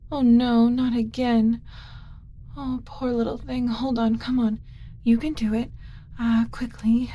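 Kind alien mother, played by a woman, flustered but tender; ASMR roleplay, soft and mellow, unhurried, slipping into whispers. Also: fairly high pitch, slight background noise. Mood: flustered, worried. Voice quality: soft, often dropping to a whisper. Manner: gentle, cooing, hesitant muttering to herself.

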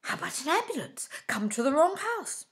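A woman's voice: sits high, very animated, brisk. A woman playing a chatty, whimsical elderly wife in a radio comedy. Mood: speculating. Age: older.

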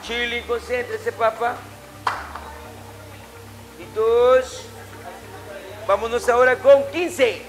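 A man's voice: extremely high pitch, fairly even intonation, very slow, pauses often, soft background music. A middle-aged man, a martial arts instructor giving commanding, short, repeated orders and corrections to a class in a gym. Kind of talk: calling out drills and corrections in a class. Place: indoor martial arts gym with matted floor.

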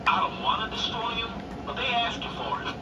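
Actors voicing gruff police officers barking terse radio chatter during a car chase in a game, heard from the TV speakers. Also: mid-range voice, completely flat delivery, brisk, echoey room, distant mic, natural voice. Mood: urgent. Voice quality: terse, tough cop talk over radio. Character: gruff pursuing police officers, mixed crew. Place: living room, TV speakers. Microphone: phone camera filming the TV. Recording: game audio played from a TV, filmed from across a noisy room.

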